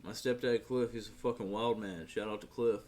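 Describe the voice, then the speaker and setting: A man's voice: lowish voice, steady tone, fairly quick, darkish tone, slight background noise. A man who is a tattoo artist, a guest on a casual podcast, rambling in a laid-back way with plenty of swearing. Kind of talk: casual chat. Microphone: podcast microphone.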